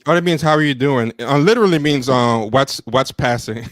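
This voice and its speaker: A man in his thirties chats on a livestream from a home studio, mocking himself and laughing between halting phrases. His voice sits at an ordinary pitch, close to the mic and very dry. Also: a bit bright, dry recording. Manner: self-deprecating, laughs often, trails off with fillers. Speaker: podcast co-host. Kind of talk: casual chat on a livestream. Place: home room with plants and posters. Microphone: studio mic with headset, on a video call.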